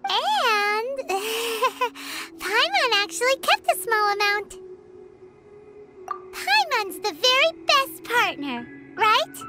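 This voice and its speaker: A little floating fairy companion from a video game. The voice is extremely high, squeaky and childlike, with lively swoops and a cutesy, proud chirp. Loud music plays underneath. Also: female voice, takes it slowly, heavily acted. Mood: eager, proud. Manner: speaks of herself in third person, cutesy.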